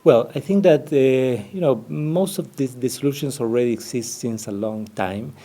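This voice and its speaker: A man's voice: medium pitch, normal speed, dark tone, soft background music. A man from Argentina with an Argentine Spanish accent, a business developer answering interview questions, often searching for words.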